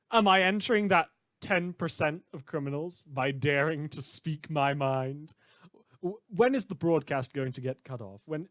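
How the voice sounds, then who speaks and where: A man playing a bitter, unhinged radio host in a fan audio drama, rambling sarcastically with halting stammers. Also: mid-range voice, fairly bright tone, dry recording, clean studio sound, close to an everyday voice. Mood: mocking, agitated. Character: bitter, unhinged male radio host, sardonic renegade cape.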